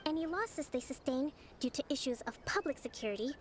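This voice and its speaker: A woman voicing a poised, gentle young priestess and strategist in a video game, speaking in a measured, polite way. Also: high voice, animated, background noise. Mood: diplomatic, firm. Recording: game music behind the voice.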